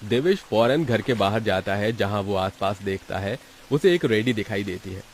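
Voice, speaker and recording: A man's voice: on the low side, lively intonation, moderate pace, a little hiss or hum. A man reading scripted narration as the storyteller of a moral tale.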